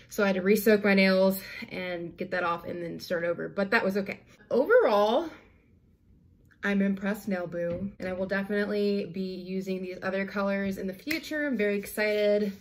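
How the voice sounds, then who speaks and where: A young adult woman with an American accent chatting informally to camera in a slangy, conversational way, as a product reviewer in a home room. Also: medium pitch. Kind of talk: casual chat to camera. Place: small home room, indoors.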